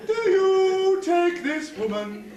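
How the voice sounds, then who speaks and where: A man's voice: extremely high pitch, sing-song, takes it slowly, darkish tone, exaggerated voice. A man playing a fussy, flustered pantomime dame on stage with exaggerated mock solemnity. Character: flustered, comic pantomime dame officiating weddings. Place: amateur stage show in a hall. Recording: echoey hall from far off, noisy.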